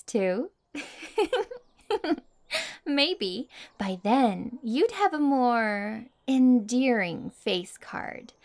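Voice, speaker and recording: Woman acting a haughty, high-voiced popular girl. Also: exaggerated voice. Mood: taunting, wistful.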